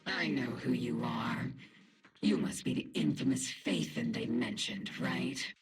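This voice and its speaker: Gravelly, blunt female squad captain. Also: very deep voice, unhurried, exaggerated voice. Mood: wary, skeptical. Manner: blunt, no-nonsense. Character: gruff female squad captain, by-the-book veteran soldier. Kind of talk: dramatic game dialogue.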